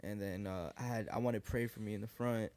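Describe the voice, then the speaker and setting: A young man in his twenties from New York, a guest rapper chatting casually in a podcast interview, relaxed and loose with lots of fillers. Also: on the low side, brisk. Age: 20s. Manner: relaxed, lots of likes and restarts. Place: living room podcast set, indoors. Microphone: boom studio mic.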